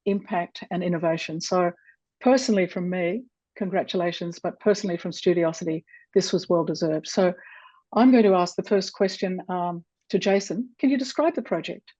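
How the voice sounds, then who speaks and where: Mellow-voiced woman moderating a webinar. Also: fairly low pitch, normal speed, no echo. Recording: dry, dull video call audio.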